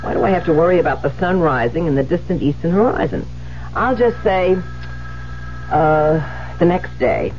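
Imperious lady novelist, dramatically expressive. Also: sits low, moderate pace. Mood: irritable, musing. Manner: theatrical, impatient, haughty. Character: imperious, irritable widowed woman novelist, rattled and frayed. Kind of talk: 1970s radio drama acting. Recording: muffled, noisy 1970s radio broadcast with hum.

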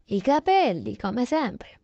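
A woman's voice: on the high side, very animated, slow, dark tone, no room sound, dull audio. A woman narrating a casual improvised roleplay of her day, chatty and correcting herself as she goes. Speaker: narrator voicing a toy-game roleplay video.

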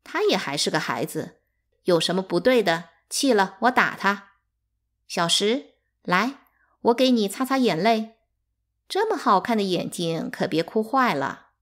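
A woman's voice: medium pitch, wildly swooping pitch, unhurried, few pauses, fairly bright tone, full character voice. Woman playing a domineering, falsely sweet rich wife. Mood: falsely soothing.

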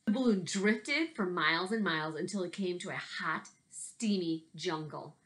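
A woman, talking casually and reading to children in an animated, expressive way, like an elementary teacher. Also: lowish voice, normal speed. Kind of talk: casual video message and children's read-aloud. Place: small bedroom at home, indoors. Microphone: laptop webcam at desk distance.

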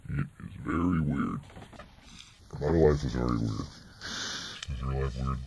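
A man in his twenties rambling casually through a vlog update, halting and often trailing off, recorded on a webcam at a desk. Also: extremely low pitch, gravelly, a little mellow. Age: 20s. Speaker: vlogger. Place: small bedroom indoors. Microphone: webcam at desk distance. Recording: noisy webcam audio.

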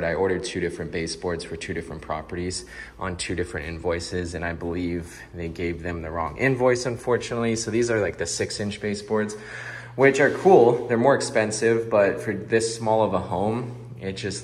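Young guy with a low voice giving a chatty phone tour of an empty condo, talks to the guys watching. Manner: casual and chatty, addresses viewers as guys. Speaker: real estate investor vlogger. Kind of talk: casual walkthrough vlog. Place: empty condo under renovation, indoors. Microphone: phone camera held at arm's length.

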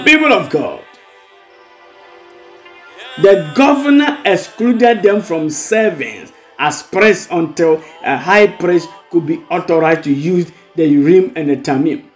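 A man with a Ghanaian accent preaching a devotional as a pastor, exhorting and repeating his phrases. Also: high-pitched. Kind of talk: devotional sermon with prayer and blessings. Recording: music bed under the voice. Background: music.

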